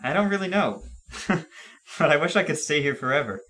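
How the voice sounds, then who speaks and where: A man's voice: a little high, expressive, a little fast, sharp tone. A man playing an easygoing, reassuring father in an amateur radio play, speaking patiently. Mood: upbeat. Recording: noisy room, hum behind voice.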